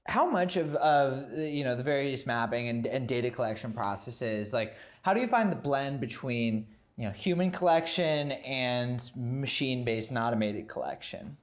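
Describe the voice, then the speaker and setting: A young man interviewing a founder, asking questions with halting fillers. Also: mid-range voice, animated.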